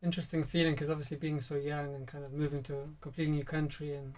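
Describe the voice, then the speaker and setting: A man from Spain, a musician guest, chatting in a relaxed, soft-spoken interview and using many fillers. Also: on the high side, very fast.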